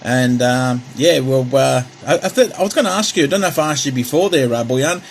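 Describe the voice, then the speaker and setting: An Australian man chatting casually and haltingly as a hobby radio operator, often repeating himself. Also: mid-range voice, animated. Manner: halting, repetitive. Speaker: CB radio operator demonstrating his equipment. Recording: clear background hiss and radio noise.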